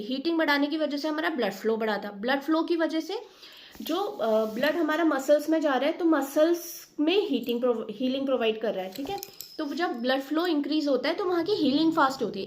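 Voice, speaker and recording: A woman with an Indian accent giving an informal explanatory lecture as a teacher, mixing in English technical terms and often checking in. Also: sits high. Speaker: physiotherapy teacher.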